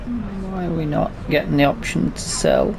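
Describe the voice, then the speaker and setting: Male let's play host, casual and muttering, creaky mid-pitched voice over clear engine noise. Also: huge pitch swings, moderate pace. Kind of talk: casual rambling game commentary.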